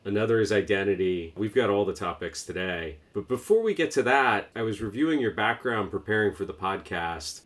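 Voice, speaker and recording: A man hosting a podcast, reading a scripted intro and then interviewing a guest in a measured, interested way. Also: on the low side. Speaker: podcast host.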